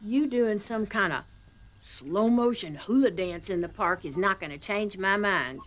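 A woman's voice: medium pitch, lively intonation, a little slow, a bit bright. An actress playing a wry, sassy older grandmother with a Southern US accent, dry and folksy. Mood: scolding.

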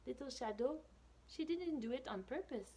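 Expressive high-voiced woman reading to children. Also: moderate pace, breathy edge. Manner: expressive, with whispered asides and questions to listeners. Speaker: author reading her picture book to children. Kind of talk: storytime read-aloud with casual asides. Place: small indoor room with brick wall.

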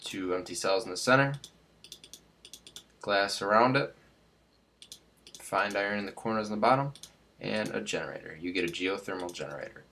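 A man's voice: fairly low pitch, moderate pace, creaky, pauses often, bright, forceful tone, a little hiss or hum. A young man with a stuffed-up nose hosting a casual game tutorial, rambling with many fillers and restarts. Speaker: Minecraft tutorial host.

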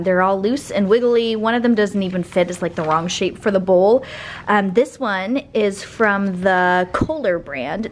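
An upbeat young woman talks to her camera while she cooks and does jobs around the house, chatty and eager. Her pitch sits right in the middle for a woman. A clear hum of household noise runs under her voice. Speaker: homemaking vlogger. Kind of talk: casual chat to camera. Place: home kitchen and small bathroom. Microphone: handheld camera at arm's length.

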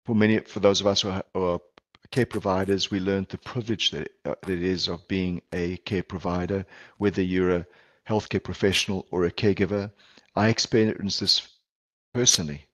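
A man's voice: low voice, level tone, moderate pace, no echo. A middle-aged man with a South African accent, a Portuguese native speaker, giving an earnest conference talk over a video call with frequent ums and restarts. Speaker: palliative care physician presenter. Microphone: video call headset or laptop mic.

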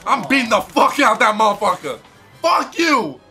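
A young man in his twenties, a streamer giving crude, loud, excitable commentary while playing a game, talking into a headset in his bedroom. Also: very high voice, normal speed, faint music behind. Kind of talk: crude live gaming commentary. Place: pink-lit bedroom, indoors.

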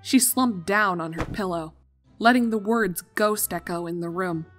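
A woman's voice: mid-range voice, a little slow, a bit bright, faint music behind. A young adult woman reading scripted story narration as the narrator of a fan fiction.

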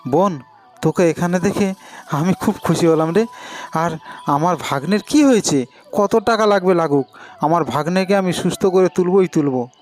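A man's voice: sits high, moderate pace, soft background music, lightly acted. A man voicing a kind-hearted, hard-up elder brother in a cartoon, speaking in an earnest, pleading, emotional way. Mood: tender, earnest. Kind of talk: cartoon morality tale dialogue.

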